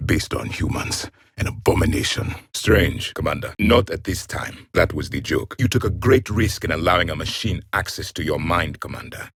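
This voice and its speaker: An actor playing an ancient alien warrior in a video game, the grim and scornful last of his race, with a husky, raspy voice and stern, haughty, formal phrasing. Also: low voice, no echo, studio quality. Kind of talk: video game character voice lines.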